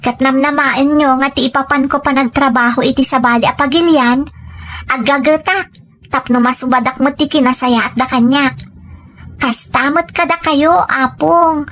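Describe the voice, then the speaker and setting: A woman's voice: sits high, fairly even intonation, normal speed, fairly bright tone. A woman playing a dutiful, grateful young granddaughter in an Ilocano audio drama, respectful and earnest, with a Filipino accent. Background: music.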